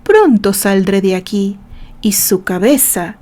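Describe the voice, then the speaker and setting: A woman's voice: mid-range voice, lively intonation, slow pace, warm tone. A woman reading the part of a defiant, bitter man locked in a jail cell. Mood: angry. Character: angry jailed red-haired man, bitter.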